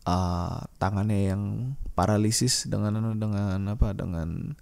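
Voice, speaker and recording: A man talks through a loose solo podcast monologue. His voice sits low, with a heavy creak and a mellow tone. He speaks informally and saltily, hesitating as he thinks aloud. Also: steady tone, unhurried. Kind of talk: casual rambling monologue.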